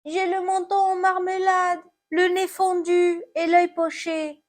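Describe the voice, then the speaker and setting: A woman voicing a battered apple from a children's poem, plaintive yet gently generous. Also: very high-pitched, level tone, slow, voice pushed up. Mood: plaintive, hurt.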